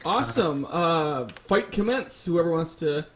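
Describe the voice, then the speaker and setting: A man's voice: a little high, expressive, a little slow, slight background noise. A man moderating a casual panel discussion, working through his points with hedges and restarts.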